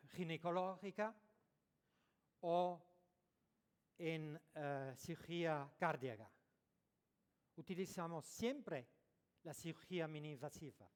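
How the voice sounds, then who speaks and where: A man speaking Spanish as a non-native speaker, giving a dental conference lecture, pausing often and correcting his own words. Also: fairly high pitch. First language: French or Italian, not Spanish. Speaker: dentist giving a conference talk. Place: large lecture hall. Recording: echoey hall recording.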